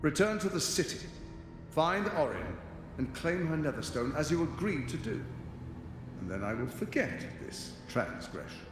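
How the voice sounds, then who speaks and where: A man's voice: fairly high pitch, big pitch swings, moderate pace, noisy background. A man playing a smug, menacing tyrant in a video game, mocking and pompous in a theatrical way. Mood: cold menace. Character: smug, menacing male tyrant, silkily condescending. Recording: echoey hall reverb, music behind. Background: music.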